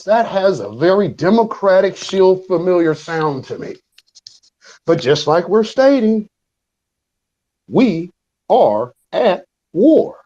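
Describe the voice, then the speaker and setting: A man's voice: sits high, a little mellow. A man ranting heatedly at listeners in an online audio room, scolding and confrontational. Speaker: caller in an audio chat room. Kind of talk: heated rant. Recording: muffled lo-fi audio.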